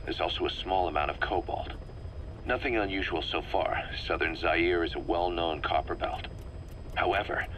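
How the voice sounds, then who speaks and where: A middle-aged military intelligence officer in a video game, with a very low, forceful voice. He briefs his commander in a measured, analytical, serious way. There is faint noise on the recording. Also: poor audio. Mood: matter-of-fact. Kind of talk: scripted briefing, game voice acting.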